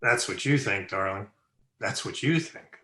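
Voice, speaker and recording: A man's voice: lowish voice, expressive, measured pace, bright, forceful tone. An actor playing a wry young man who reassures his sweetheart with folksy wisecracks in an old-time radio drama. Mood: wary, teasing. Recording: roomy echo with party chatter.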